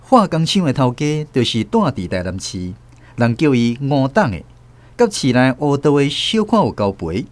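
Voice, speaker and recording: A man's voice: mid-range voice, moderate pace. A man, host and narrator, reading a story in scripted narration from a radio studio. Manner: steady storytelling.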